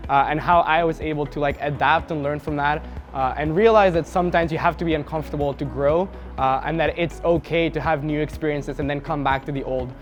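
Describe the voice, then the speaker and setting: A young man from Mexico City, a university student, gives a relaxed testimonial. His voice sits slightly high, and he speaks casually, filling his pauses with "uh." Soft music plays faintly underneath. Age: young adult. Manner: relaxed, with frequent "uh" fillers. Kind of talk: testimonial to camera.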